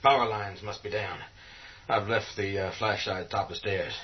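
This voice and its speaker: A man's voice: fairly low pitch, fairly even intonation, normal speed, lightly acted. An actor playing a practical male survivor in a horror radio drama, speaking plainly. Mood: tense, practical. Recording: dull lo-fi sound.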